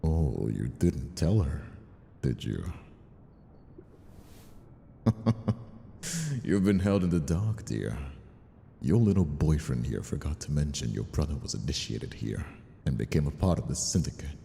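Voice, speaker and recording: Man playing a very deep, gravelly mocking mobster villain. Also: animated, measured pace, long pauses, mellow, a little hiss or hum, heavily acted. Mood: taunting. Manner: taunting, condescending, drawling. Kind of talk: dramatic audio roleplay acting.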